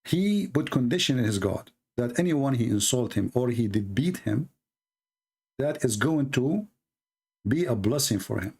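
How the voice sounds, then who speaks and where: Blunt Arabic-accented man, gravelly. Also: medium pitch, lively intonation, measured pace, a little mellow. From Middle East. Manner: blunt, mocking, emphatic repetition, broken grammar. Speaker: debate show host. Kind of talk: casual livestream commentary.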